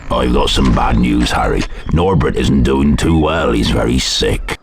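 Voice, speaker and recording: An actor playing a big, gruff, caring gamekeeper in a video game, with folksy casual speech. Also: male voice, very deep voice, measured pace, very noisy. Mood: concerned. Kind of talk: video game character acting. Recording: music behind the voice.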